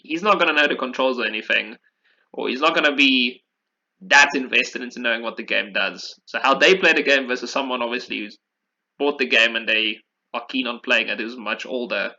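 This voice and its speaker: A man's voice: mid-range voice, pushed and bright, highs cut off. A man hosting a podcast, talking casually and with opinions about video games, often repeating phrases for emphasis. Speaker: podcast host.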